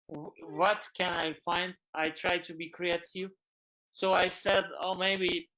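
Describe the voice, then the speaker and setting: Turkish-accented man, halting careful speech. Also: high voice, bright, forceful tone, poor audio. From Turkey. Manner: halting, searching for words in English. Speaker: film director guest. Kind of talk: online panel interview.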